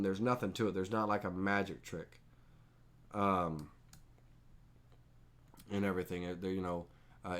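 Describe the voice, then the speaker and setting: A man hosting a live-streamed software tutorial with a head cold, sounding stuffy and nasal, rambling casually and talking to chat viewers by name. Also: fairly low pitch. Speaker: host and instructor.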